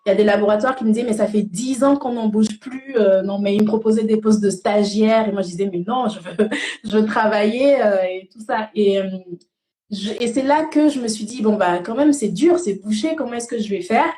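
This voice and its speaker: A woman's voice: mid-range voice, brisk, mellow, reverberant. A young woman from Senegal, an interview guest telling her own story in a casual, conversational way. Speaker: interview guest, cosmetics brand founder. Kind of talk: casual first-person storytelling.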